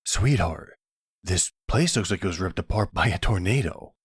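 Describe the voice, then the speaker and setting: A man's voice: low voice, animated, normal speed, breathy edge, no echo, studio quality, exaggerated voice. A man acting as a worried, insistent boyfriend in a roleplay audio drama, speaking in an earnest, pleading, exasperated way. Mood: stunned.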